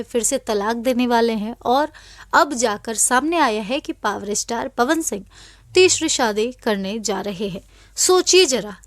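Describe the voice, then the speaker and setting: A woman's voice: high-pitched, no room sound, studio quality. A woman reading scripted celebrity news as an anchor, in an emphatic, dramatic storytelling way.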